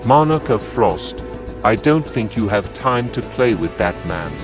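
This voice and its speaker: An actor playing a composed, cunning villainous conspirator, measured and knowing, in an anime-style dub. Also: male voice, fairly low pitch, sing-song, over music. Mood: calm, calculating.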